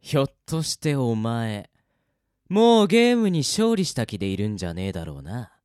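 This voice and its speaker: A man playing a cocky, cruel death-game player in a Japanese radio drama, with rough, taunting, gloating speech. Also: mid-range voice, sing-song, moderate pace, a little mellow, dry recording, studio quality, natural voice. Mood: menacing taunting.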